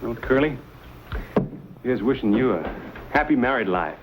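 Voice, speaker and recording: A man acts out a brash young frontiersman in an old western drama. His mid-pitched voice moves freely up and down, folksy and good-natured. The recording carries faint noise. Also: expressive, close to an everyday voice. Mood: wry, cool. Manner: folksy, halting when flustered.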